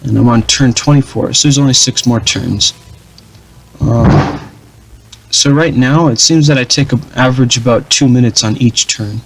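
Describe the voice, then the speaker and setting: A young man casually commenting over his strategy game, rambling with many fillers and restarts in a flat, dull-sounding voice. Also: mid-range voice, normal speed, slight background noise. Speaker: gaming channel host.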